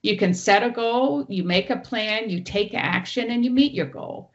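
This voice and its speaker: A woman presenter with a loud teacher voice, giving an online training talk and walking through each slide. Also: mid-range voice, moderate pace, muffled recording. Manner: explains clearly, walks through each slide. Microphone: online meeting audio.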